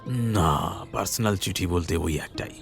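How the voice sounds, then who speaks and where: A man playing a calm, forthcoming police captain in an audio story, explaining things in a measured way. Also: deep voice, gravelly, soft background music. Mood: calm, explanatory.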